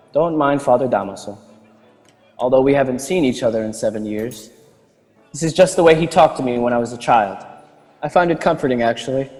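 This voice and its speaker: An actor playing a composed, idealistic young gentleman in a radio drama, polite and formal. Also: medium pitch, natural voice. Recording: long hall reverb, music underneath.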